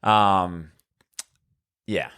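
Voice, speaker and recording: A man in his thirties from Northern Italy, speaking English with an Italian accent as a podcast guest, measured and full of fillers. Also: deep voice, pushed and bright, smooth. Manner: measured, with frequent fillers and restarts. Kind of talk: conversational interview.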